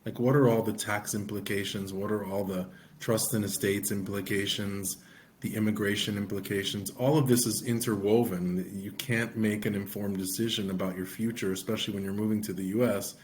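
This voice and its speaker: A middle-aged man, an immigration attorney co-hosting a podcast, explaining in an earnest, steady way. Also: fairly low pitch, a little fast, slight background noise. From United States. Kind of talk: podcast conversation.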